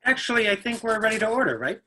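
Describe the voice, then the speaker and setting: A man's voice: sits high, very animated, quick pace, bright, forceful tone, exaggerated voice, poor audio. A man playing a dry, commanding middle-aged father in a play reading, sardonic in manner. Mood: brisk, assured. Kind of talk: readers theatre play reading.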